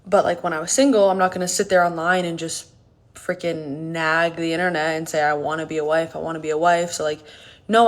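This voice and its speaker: Woman in her late 20s, low register, direct-to-camera vlogger, frank and emphatic. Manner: candid and emphatic, many "like" fillers. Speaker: fitness content creator. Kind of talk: casual talking-to-camera vlog.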